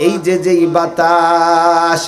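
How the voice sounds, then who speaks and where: Male preacher as unschooled boatman, Bangladeshi accent, high sing-song voice, rustic, wryly taunting, echoing sermon tale. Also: completely flat delivery, drawn-out words, soft background music. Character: humble unschooled boatman, finally triumphant and taunting.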